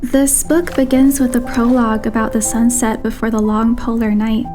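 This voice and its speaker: An actress playing a gentle, earnest young woman, a game heroine, with a sincere, softly hesitant manner. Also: fairly high pitch, flat delivery, moderate pace, lightly acted. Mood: warmly interested. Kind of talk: soft game voice acting. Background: music.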